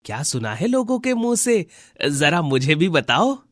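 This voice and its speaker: A man's voice: on the high side, sing-song, measured pace, a bit bright, no echo, studio quality. A man voicing a scheming, jealous village husband in a cartoon moral story, with exaggerated delivery. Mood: envious, puzzled. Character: scheming, jealous village husband, gloating over a neighbour's misery. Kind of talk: Hindi animated moral story dialogue.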